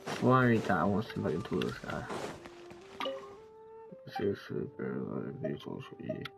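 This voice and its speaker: A woman's voice: sing-song, fairly quick, creaky. A woman giving casual gameplay commentary as a streamer, slangy and offhand, on a muffled mic. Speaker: game streamer. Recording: muffled, dull low-fidelity mic. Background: game music.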